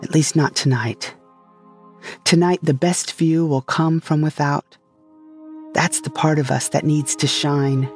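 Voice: medium pitch, fairly even intonation, measured pace, darkish tone. A narrator delivering a scripted dramatic monologue in a sci-fi audio drama. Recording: faint music behind the voice.

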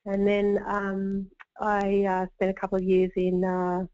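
A woman, a vet, giving a webinar presentation in a relaxed way with many fillers. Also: medium pitch, measured pace. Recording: dull dry webinar audio.

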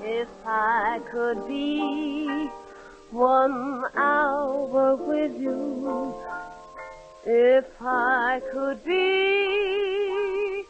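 High woman's voice singing close harmony. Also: drawn-out words, over music. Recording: dull old 1930s radio broadcast with orchestra.

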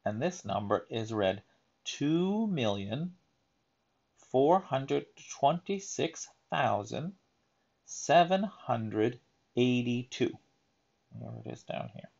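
A man reading a children's picture book aloud in a deliberate, emphatic teaching manner, adding explanatory asides. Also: medium pitch, big pitch swings, vocal fry, a little mellow, dull audio.

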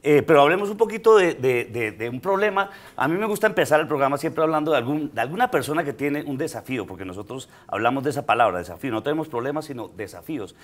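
A man's voice: a little high, animated, fast talker, few pauses. A middle-aged man from Colombia, a guest comedian on a studio talk show, telling a personal story with many hesitations and laughs. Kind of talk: casual talk show chat. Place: studio set.

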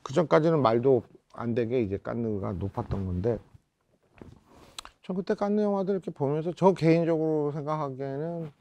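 A man in his fifties from South Korea reminisces as a film director in a reflective interview, often pausing to search for words. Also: fairly high pitch, lively intonation, darkish tone, muffled recording. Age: 50s. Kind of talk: reflective oral-history interview. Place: indoor interview set before a photo backdrop.

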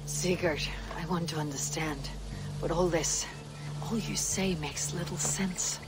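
A woman's voice: lowish voice, unhurried, over loud music, poor audio. An actress playing a loyal, stoic Viking warrior woman, restrained and earnest, in a dramatic video game.

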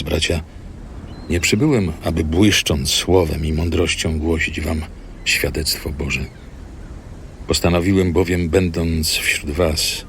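A solemn apostle in a scripture audio drama, extremely deep and creaky. He speaks gravely and deliberately to his brethren, with faint noise behind him. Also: heavily acted.

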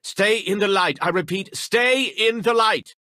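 An actor playing a commanding, exasperated mission coordinator in a video game, with an urgent, theatrical delivery. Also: male voice, high voice, measured pace, sharp tone, dry recording, studio quality. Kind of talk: dramatic video game dialogue.

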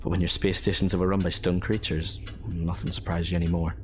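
A man plays a weary crewman in a sci-fi audio drama. He is low-pitched, plain-spoken and resigned, with faint noise behind him. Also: flat delivery, fast, a little mellow, close to an everyday voice. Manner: casual, resigned. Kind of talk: amateur audio drama acting.

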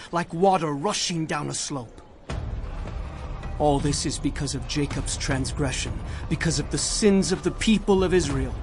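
A man's voice: on the high side, lightly acted. A man playing an impassioned prophet solemnly warning of doom in a dramatized audio Bible, with music behind him. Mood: proclaiming. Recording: music under the voice.

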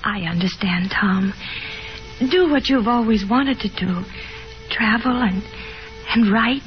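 An actress in a 1950s radio drama playing a gentle, shy young sister who speaks softly and hesitantly. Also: female voice, fairly high pitch, takes it slowly, faint music behind. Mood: tender, sad. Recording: dull vintage radio recording.

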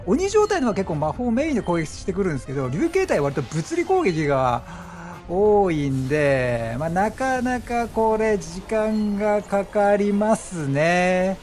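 Animated high-pitched man commentating a game. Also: a little slow, soft background music. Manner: chatty, animated, exclaims at the action. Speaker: gaming streamer commentating gameplay. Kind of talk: excited game commentary and explanation.

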